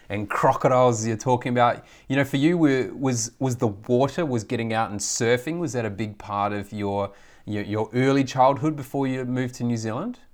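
A male host interviews his guest with friendly, long, wandering questions. His voice sits in a mid range and his tone stays conversational. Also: mid-range voice. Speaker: podcast host.

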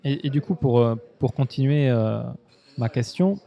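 A man hosting a podcast, asking probing questions in a slightly halting way. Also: mid-range voice, lively intonation, warm tone. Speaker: podcast host. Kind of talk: podcast interview.

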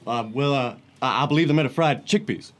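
A woman voicing a nervous, stammering young man, pitched much lower than her own voice. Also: a little fast. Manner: stammering, hesitant.

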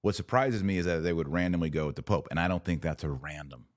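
Very deep-voiced man, dry close sound. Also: fast, no echo. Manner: emphatic, repeats words and asks rhetorical questions. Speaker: podcast host. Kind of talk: conversational opinion commentary.

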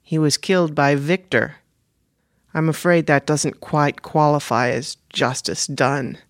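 A composed woman operative speaks in calm, measured, formal sentences, voiced by a male narrator. Her pitch sits clearly higher than his natural voice. The reading is close and dry. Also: dry recording.

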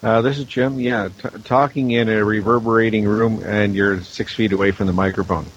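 A man's voice: on the low side, fairly even intonation, fairly quick, slightly rough. A middle-aged man chatting casually as a roundtable guest, on dull lo-fi audio with some noise. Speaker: audio producer, guest panelist.

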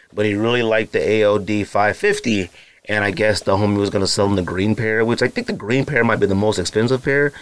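A man in his thirties with a naturally deep voice, hosting a podcast in loose, slangy casual chat full of fillers and asides to his listeners. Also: clear, resonant tone, no room sound. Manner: feels hot and uncomfortable while recording. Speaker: podcast host. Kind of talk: casual solo podcast rambling.

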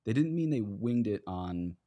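A man's voice: lowish voice, animated, unhurried, mellow. A man speaking as a guest in a conversational religious discussion, earnest and explanatory. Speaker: priest, podcast guest.

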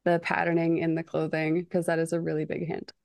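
A woman's voice: deep voice, monotone, fairly quick, creaky, a little mellow, no echo, studio quality. A woman chatting casually on a panel, informal and wry, with colorful slang. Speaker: photography panelist presenting image examples. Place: remote webinar, close dry sound.

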